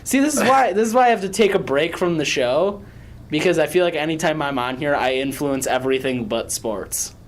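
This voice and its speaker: A man's voice: fairly high pitch, animated, brisk. A young man co-hosting a podcast, chatting casually and joking around. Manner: joking, rambling, breaks into off-key singing. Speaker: podcast co-host. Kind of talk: casual chat. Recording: faint background noise.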